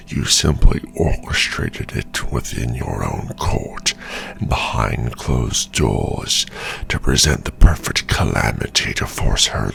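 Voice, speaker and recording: An ancient, battle-worn werewolf for an ASMR roleplay. The voice is extraordinarily low and thick with gravel, with a forceful breathy edge. Each phrase comes slowly and with menacing, sardonic weight. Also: male voice, full character voice. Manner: slow, deliberate, theatrical speech. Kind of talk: ASMR fantasy roleplay acting.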